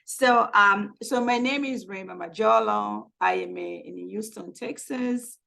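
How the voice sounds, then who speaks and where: A woman's voice: medium pitch, a little slow, vocal fry, sharp tone. A middle-aged woman from Tanzania, a guest speaker who founded a Swahili school, talking casually with frequent restarts and laughs. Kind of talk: casual talk to an online community. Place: home in Houston.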